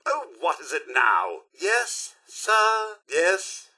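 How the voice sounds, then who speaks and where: A man's voice: high voice, huge pitch swings, extremely slow, bright, forceful tone, breathy edge, full character voice. An actor playing a polite, fussy, wry male robot butler in a video game, with a British accent and a formal, theatrically prim manner. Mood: exasperated, weary. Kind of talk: video game character voice lines.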